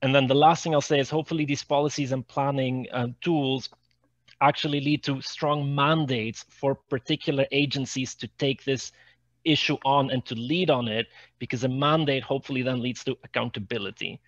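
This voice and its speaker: A man's voice: mid-range voice, fairly even intonation, moderate pace, no echo. A man speaking as a policy panelist on a video call, repeating words as he builds his points. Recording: dull, dry video-call audio.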